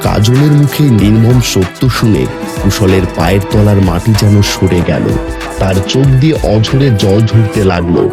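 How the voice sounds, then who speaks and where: A man's voice: fairly low pitch, animated, moderate pace. A man narrating a horror story in a dramatic, scripted storytelling style. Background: music.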